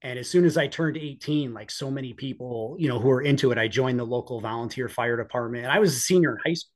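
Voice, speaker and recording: A man's voice: medium pitch, fast talker. A man in his forties with an American accent, telling personal stories conversationally as an interview guest. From northeastern United States. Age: 40s. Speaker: podcast guest, police officer and former EMT.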